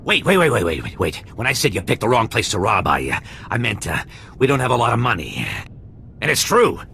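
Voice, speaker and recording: An actor playing a cowardly pirate medic in a video game, blustering and stammering. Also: male voice, mid-range voice, sing-song, a little fast, gravelly, sharp tone, slight background noise, full character voice. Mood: nervous. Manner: blustering then backpedaling, stammering. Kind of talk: game cutscene acting.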